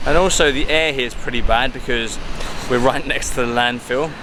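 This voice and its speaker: A young man with an English accent chatting casually on a vlog, easygoing and relaxed. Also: mid-range voice, brisk. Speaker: vlogger and actor. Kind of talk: casual chat. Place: outdoors in a city, near traffic. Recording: loud traffic noise outdoors.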